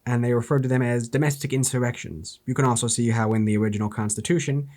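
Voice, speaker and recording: A man's voice: medium pitch, fairly even intonation, fast talker, a little mellow. A man from New Jersey reading an old political speech aloud and breaking into sarcastic, profane commentary. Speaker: YouTube history commentator.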